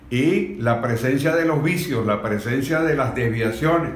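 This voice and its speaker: A man with a Venezuelan accent giving earnest political commentary in a deliberate, halting, formal way. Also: medium pitch. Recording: echoey room.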